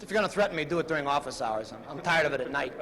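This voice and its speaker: American male attorney, slightly high voice. Plain-spoken and exasperated with reporters, archival sound with busy crowd. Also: expressive, racing. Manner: blunt, fed-up, plain-spoken. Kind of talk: press interview remarks. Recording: archival newsreel with crowd noise.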